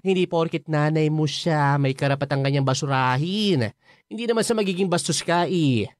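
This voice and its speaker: A woman playing an outspoken, protective best friend in a radio drama, expressive, nagging and comforting with exasperated interjections. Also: low voice, normal speed, no room sound, studio quality, close to an everyday voice. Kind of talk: Filipino radio drama dialogue.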